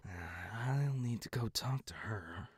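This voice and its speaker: Man voicing an earnest young guard stallion, lively pitch. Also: measured pace, slightly rough, no room sound, studio quality. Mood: flustered. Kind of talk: fan audio drama dialogue.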